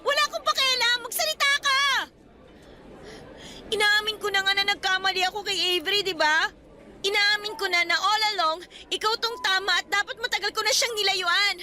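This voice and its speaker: A woman's voice: very high voice, fairly quick. A woman voicing a young female student who pleads emotionally in a radio drama. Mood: desperate. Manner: emotional, pleading, rising to shouts.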